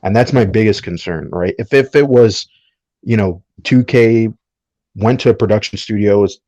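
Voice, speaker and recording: A low-pitched man talks as a panelist on a casual podcast. He states his opinions firmly and keeps checking for agreement with short tag questions. The sound is dry and a little lo-fi. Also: moderate pace, mellow, no echo.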